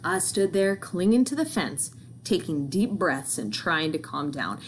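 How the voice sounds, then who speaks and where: A woman reading a children's novel aloud, telling it in the first person in an expressive, folksy manner. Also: on the low side, unhurried. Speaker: book reader. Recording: faint background noise.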